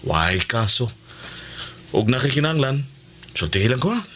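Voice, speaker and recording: An actor playing a friendly, hospitable young cousin in a radio drama, talking in a casual, animated, reassuring way. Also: male voice, mid-range voice, moderate pace, a little hiss or hum.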